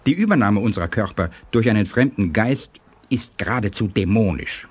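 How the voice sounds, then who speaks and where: An actor playing a learned, solemn alien elder in a 1980s German radio play, explaining things in a grave, measured way. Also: male voice, lowish voice, lively intonation, slightly rough. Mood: grave warning. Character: learned, solemn alien elder statesman, calm but ominous.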